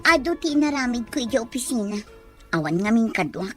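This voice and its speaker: A woman's voice: fairly high pitch, expressive, a little fast, fairly bright tone, soft background music. A woman acting a hardworking, weary young female office worker who complains, in an audio drama. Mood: weary complaining. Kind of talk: Ilocano radio drama acting.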